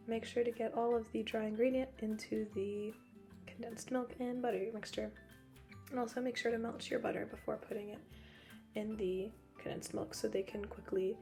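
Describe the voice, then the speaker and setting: A young woman with a hoarse voice, still recovering from losing it, narrating a cooking video in a chatty, joking way with frequent laughs. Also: medium pitch, darkish tone. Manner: chatty, joking, laughs often, rambling asides. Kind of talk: casual voice-over narration.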